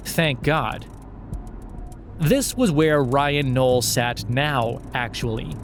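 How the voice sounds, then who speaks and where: A man's voice: medium pitch, very slow, faint music behind. A man narrating scripted satirical fiction in a sardonic, mock-earnest storytelling manner.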